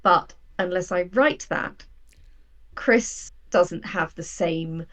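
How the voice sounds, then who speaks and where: A woman's voice: lowish voice, slow, fairly bright tone. A woman speaking as a guest writer in a reflective interview conversation, candid and explanatory about her own doubts. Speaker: guest, audio drama writer.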